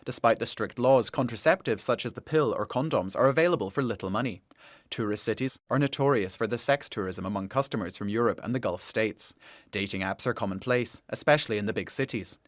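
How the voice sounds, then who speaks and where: Calm male radio feature narrator. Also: fairly low pitch, a little fast, dry recording, studio quality. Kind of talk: scripted documentary narration.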